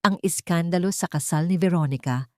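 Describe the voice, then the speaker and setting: A woman's voice: lowish voice, a little fast, no room sound, clean studio sound. A woman with a Filipino accent reading a dramatic romance story as narrator.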